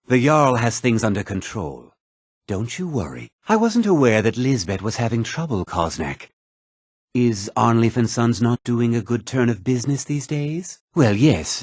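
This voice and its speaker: An actor playing a haughty, put-upon fantasy townsman in a video game, husky, theatrical and often sarcastic. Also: medium pitch, no echo, clean studio sound. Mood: formal, deferential. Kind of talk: video game character dialogue.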